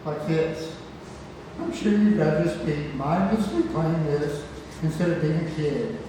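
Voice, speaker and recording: A man's voice: fairly high pitch, a little slow, lightly acted. A man at a play table read, playing a wry, playful old grandfather who is frail but feisty. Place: large indoor theatre room, far microphone. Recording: distant, echoey hall, noisy room recording.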